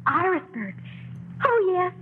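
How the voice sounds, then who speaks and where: A woman's voice: extremely high pitch, big pitch swings, slow pace, full character voice. An actress playing a prim, genteel young woman with affected manners, in 1940s radio acting. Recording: muffled old radio broadcast, hiss.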